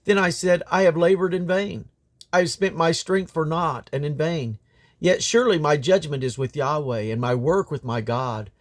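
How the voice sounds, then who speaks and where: Male scripture reader, slightly high register, solemn and declamatory, phrases set out deliberately with firm stress. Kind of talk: solemn Bible reading aloud.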